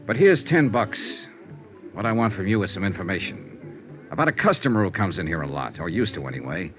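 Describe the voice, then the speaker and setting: An actor playing a wry, hard-boiled American investigator in a 1950s radio noir style, clipped and sardonic. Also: male voice, sits low, big pitch swings, brisk, over music. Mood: probing, dry. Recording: dull vintage radio recording.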